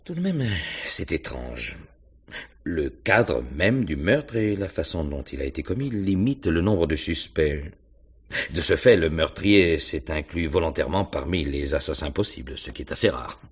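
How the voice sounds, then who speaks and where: A very low-voiced, rough-edged police inspector in a radio mystery play. He questions methodically and thinks aloud, hesitating and humming as he goes. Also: sing-song, full character voice. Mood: musing. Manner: hesitant, musing questioning with hums and pauses. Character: male police inspector, probing, pensive, methodical. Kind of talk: French radio mystery drama acting.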